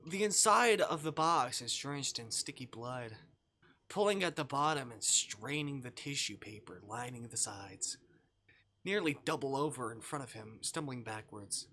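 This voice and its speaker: Animated, bright-voiced man streaming a game. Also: mid-range voice, measured pace. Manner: informal, jokey, swearing, reacting to the story. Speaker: live streamer. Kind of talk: reading a visual novel aloud with casual asides.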